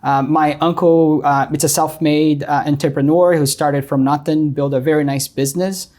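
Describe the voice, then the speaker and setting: A man's voice: on the high side, fairly even intonation, normal speed, a little mellow. Brazilian-accented man telling his story. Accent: Brazilian Portuguese. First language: Portuguese. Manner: frequent ums and uhs, earnest. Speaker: guest. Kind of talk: casual interview storytelling.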